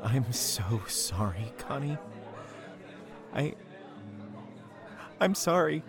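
An actor playing a grieving, shaken young man in an audio drama, hurt and guarded, stammering haltingly through his words. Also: medium pitch, big pitch swings, faint music behind, heavily acted. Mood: shaken, evasive.

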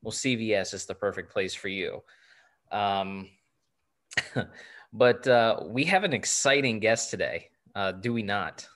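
A man's voice: lowish voice, animated, a little slow, a bit bright. A man in his twenties co-hosting a sports podcast, joking and rambling with lots of fillers. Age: 20s. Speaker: podcast co-host. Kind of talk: casual sports podcast chat.

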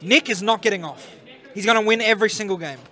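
A man's voice: high voice, quick pace, bright, forceful tone. A man co-hosting a live podcast, telling gaming stories with swearing and laughter. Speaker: podcast co-host. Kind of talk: casual banter. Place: live event venue.

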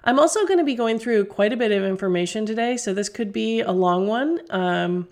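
A woman's voice: medium pitch, lively intonation, brisk, a little mellow. A middle-aged woman hosting a podcast as a teacher, getting over a cold, explaining things earnestly with fillers and restarts. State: recovering from a cold. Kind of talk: solo podcast talk.

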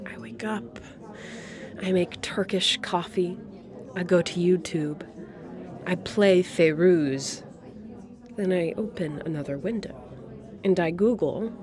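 An actress playing a proud, wistful Syrian refugee woman in her sixties, a retired art teacher chatting reflectively in a dramatic monologue. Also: lowish voice. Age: 60s. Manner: reflective, conversational, pausing between phrases. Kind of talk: dramatic monologue as intimate coffee shop chat. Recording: coffee shop chatter behind the voice. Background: cafe crowd chatter.

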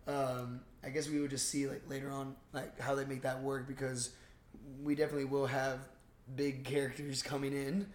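A man's voice: mid-range voice, flat delivery, moderate pace. A man co-hosting a casual movie review podcast, rambling and swearing freely as he chats. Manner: rambling, profane. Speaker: podcast co-host. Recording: roomy, echoey sound.

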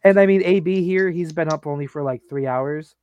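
A man's voice: on the high side, brisk, darkish tone, clean tone, muffled recording. A man in his late thirties co-hosting a podcast, rambling and joking crudely in casual banter. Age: 30s. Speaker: podcast co-host.

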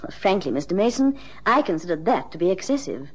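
Radio play role: demanding upper-class British client, husky voice, lively intonation, precise and frank. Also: female voice, lowish voice, fairly quick, rough recording. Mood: frank, exasperated. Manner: refined, precise, insistent. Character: wealthy married lady of forty, demanding, frank, captivating. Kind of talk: radio drama acting.

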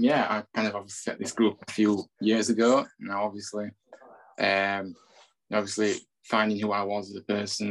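Bloke, gravelly low monotone, lots of um and you know. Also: male voice, poor audio. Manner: hesitant, lots of fillers. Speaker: supporters group founder, guest. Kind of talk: casual podcast chat.

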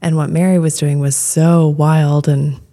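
A woman co-hosting a podcast in a casual, chatty way, laughing often and lifting her voice when animated. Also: low-pitched, measured pace. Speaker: podcast co-host. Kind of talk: casual chat.

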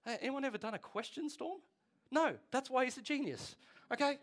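Male pastor in his 40s, bright high voice, conversational sermon with quips and questions to the room. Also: fairly quick. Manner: chatty, jokey, asks the audience questions.

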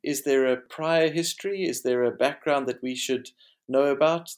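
A man's voice: medium pitch, steady tone, moderate pace. A man from Africa, moderating an academic panel with long, carefully framed questions. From South Africa.

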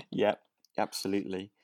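A man's voice: fairly low pitch, very animated, measured pace, a little mellow, dry recording, clean studio sound. A man with a British accent chatting casually as a podcast co-host, agreeable and a little rambling. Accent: British English. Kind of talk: casual chat about a radio drama.

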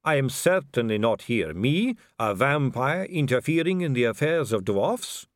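A poised noblewoman vampire drawls each word slowly and silkily, lifting her voice a little higher and floating it through a wide, lilting melody, as a male audiobook narrator puts her on. The reading is close and dry, with no room sound. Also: very animated, no echo. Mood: silky. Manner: slow, silky, languid delivery. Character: suave, poised aristocratic female vampire.

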